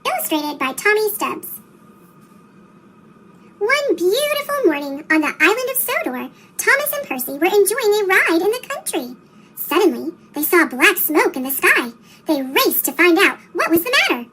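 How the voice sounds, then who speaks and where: A woman's voice: very high-pitched, sing-song, moderate pace, bright, forceful tone, poor audio. A woman reading a children's story aloud, her voice turned into a sped-up, childlike chipmunk sound. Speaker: storybook reader.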